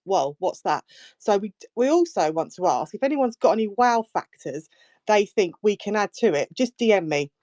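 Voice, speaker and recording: A woman's voice: medium pitch, darkish tone, no echo. A woman speaking in a casual online fan chat, explaining plans in a plain, reassuring way. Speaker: fan group organiser.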